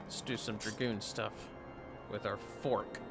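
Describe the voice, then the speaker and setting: A man's voice: on the low side, fairly even intonation, measured pace, over loud music. A man streaming a game with casual commentary, half talking to himself and sounding a little tired. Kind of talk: casual livestream commentary while playing.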